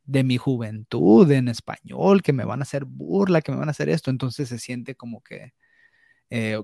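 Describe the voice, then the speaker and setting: A man's voice: mid-range voice, gravelly, a little mellow, no room sound, studio quality. A young man, talking candidly on a podcast, hesitant, with restarts and slips into English words. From United States. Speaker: podcast co-host. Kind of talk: casual podcast conversation.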